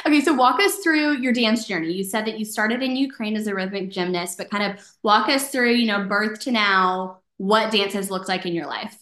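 A woman hosting an interview show, upbeat and quick with her banter. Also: mid-range voice, a bit bright, reverberant. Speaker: podcast host. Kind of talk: casual interview.

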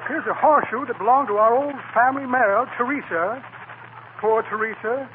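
High-pitched pompous shifty con man. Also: normal speed. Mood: breezy bluffing. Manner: florid, wordy, blustering. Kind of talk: 1940s radio comedy acting. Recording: noisy, tinny 1940 radio broadcast.

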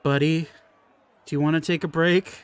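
A man's voice: a little high, steady tone, normal speed, smooth, dry recording, lightly acted. A man voicing a smug male half-elf fight announcer who calls out bets in a showy, snide way.

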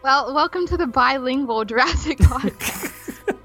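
A woman's voice: high-pitched, a little slow, bright, forceful tone, soft background music. A woman co-hosting a podcast in casual banter, giggly and teasing. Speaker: podcast co-host.

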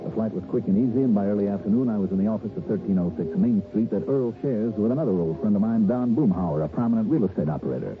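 A man acting a brisk, wry insurance investigator in a 1960s radio detective drama, clipped and businesslike. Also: on the low side, lively intonation, narrow, tinny audio, natural voice. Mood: businesslike narration. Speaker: lead actor and narrator. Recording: old band-limited radio broadcast with music.

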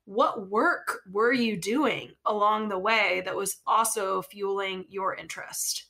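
A woman's voice: mid-range voice, slow, gravelly, pushed and bright. A woman hosting an interview who affirms her guest and asks thoughtful follow-up questions. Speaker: host.

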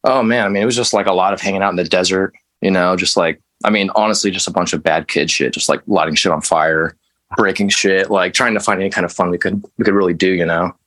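Low-voiced laid-back texas guy, musician, rambling casually about growing up. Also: fairly even intonation, fast, a bit bright. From West Texas, USA. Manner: laid-back, loose storytelling with fillers and mild swearing. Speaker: interview guest, band musician. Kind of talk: casual interview.

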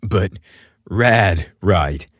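A man plays a handsome, courteous paramedic in a romance audiobook. The voice drops deep and husky, earnest and a little flustered, recorded dry and close. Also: expressive, extremely slow, dry recording, heavily acted. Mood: surprised, modest. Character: polite, flustered handsome young male paramedic, humbly grateful. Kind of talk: romance audiobook character dialogue.

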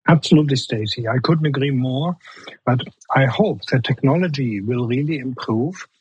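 A man's voice: medium pitch, normal speed, slightly rough, no echo, lo-fi sound. A man with a strong German accent, a guest in a podcast interview, speaking earnestly and leaning on the word "basically" as he restarts phrases.

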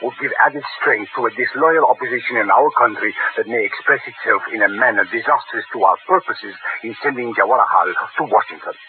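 An actor playing a formal, stiff foreign diplomat, in 1940s radio drama style. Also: male voice, medium pitch, fairly quick, hardly any pauses, natural voice. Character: formal middle-aged male foreign diplomat. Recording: tinny old radio broadcast with hiss.

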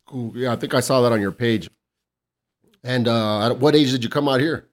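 A man's voice: mid-range voice, moderate pace. A man hosting a laid-back podcast, chatting casually in slangy, sweary talk and often chiming in with agreement. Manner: encouraging, often interjects agreement. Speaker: podcast host.

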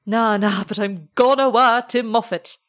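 A woman voicing an excitable, tender old shepherd in a story, with a Scottish accent and broad, exclamatory dialect speech. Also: a little high, unhurried, pitched above the performer's own voice. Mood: hasty, bossy.

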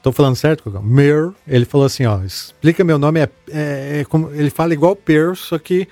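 A man's voice: a little high, fairly quick, no room sound, soft background music. Chatty male podcast host, dry sound. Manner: relaxed, chatty, with many fillers and repeated words. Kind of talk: casual podcast chat, reading listener messages aloud.

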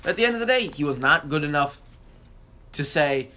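A man's voice: on the high side, animated, a little fast, bright, forceful tone. An American man co-hosting a sports podcast, arguing his points emphatically and repeating phrases to drive them home in casual debate.

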